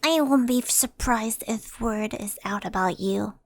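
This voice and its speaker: Woman as a creaky-voiced, frank tengu spirit. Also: a little high, measured pace. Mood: wary, frank. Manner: matter-of-fact, advising. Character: frank, practical tengu, a flying yokai friend. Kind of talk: ASMR roleplay.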